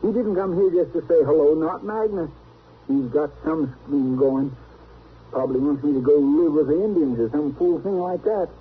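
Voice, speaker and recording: A man's voice: a little high, moderate pace, husky. A man playing an anxious, folksy marshal's sidekick with a Southern American drawl in an old radio western. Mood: exasperated. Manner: folksy drawl, fretful, exasperated. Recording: noisy, tinny old radio recording.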